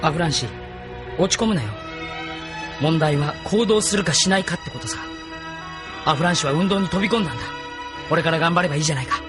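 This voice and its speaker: An actor playing a brisk young driver and comrade in an anime audio drama, blunt and informal. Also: male voice, fairly high pitch, over music, lightly acted. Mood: encouraging.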